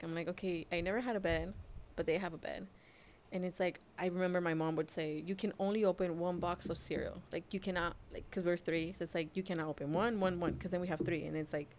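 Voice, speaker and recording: Soft-spoken, hesitant young woman from Mexico. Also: fairly low pitch, slight background noise. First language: Spanish. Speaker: guest, mother. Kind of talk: casual personal storytelling.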